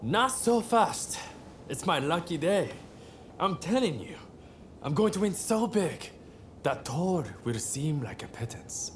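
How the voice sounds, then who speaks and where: A man's voice: a little high, very animated, slow pace, slight background noise. A man playing a roguish, laid-back young revolutionary in a video game cutscene, with a teasing, nonchalant delivery. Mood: playful, cocky.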